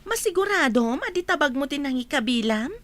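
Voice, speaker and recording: A woman's voice: high voice, animated, a little fast, dry recording. A woman with an Ilocano accent playing a doubtful, questioning friend in a radio drama.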